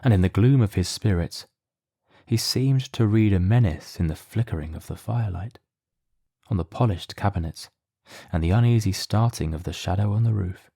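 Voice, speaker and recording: A man narrating a mystery audio drama in a measured, solemn, literary manner. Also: fairly low pitch, vocal fry, mellow, dry recording, studio quality.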